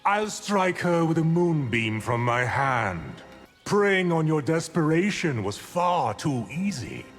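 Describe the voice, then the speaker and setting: A man voicing an arrogant, sinister underworld sorcerer in a video game, with a smug, taunting, deliberate delivery. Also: fairly high pitch, big pitch swings. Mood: menacing. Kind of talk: video game villain acting. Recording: game dialogue with music behind.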